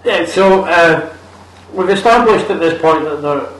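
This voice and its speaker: A young man recaps a film in a blunt, mocking way, with swearing scattered through his talk. His voice is a little higher than most men's. The room echoes around him, and he sounds set back from the mic. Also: a little slow, a bit bright. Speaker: podcast co-host. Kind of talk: casual film review chat. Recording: roomy, distant mic.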